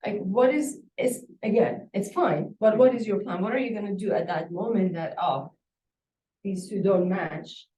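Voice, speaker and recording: Mellow-voiced woman instructor in an echoey room. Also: medium pitch, moderate pace. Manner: probing, asking follow-up questions. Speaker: university instructor reviewing a student project. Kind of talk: spoken question-and-feedback session. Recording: echoey room, distant microphone.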